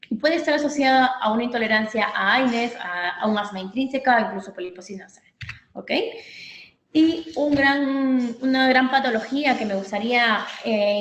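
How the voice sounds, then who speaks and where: Female doctor, teaching tone, fairly high voice, frequent "ok?" check-ins, roomy reverb. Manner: didactic, repeats points, checks in with "¿ok?". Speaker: physician lecturer. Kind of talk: medical training lecture. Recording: echoey room.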